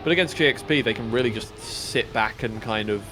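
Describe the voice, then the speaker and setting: A man commentating a live racing game in a relaxed, teasing way, his talk full of ums and restarts. Engine sounds and hiss run under his voice throughout the stream. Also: mid-range voice, a little fast. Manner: dry, teasing, many fillers and restarts. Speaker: esports caster. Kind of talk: casual live esports commentary.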